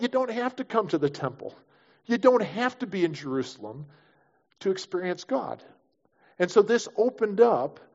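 A man's voice: high voice, darkish tone, highs cut off. A man preaching a conversational sermon as pastor, acting out quoted voices as he teaches.

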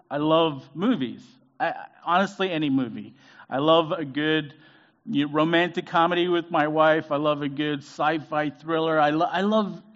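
A man's voice: on the high side, highs cut off. A middle-aged man from Zambia, giving a conversational sermon as a guest preacher. He talks with many fillers and restarts. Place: church sanctuary.

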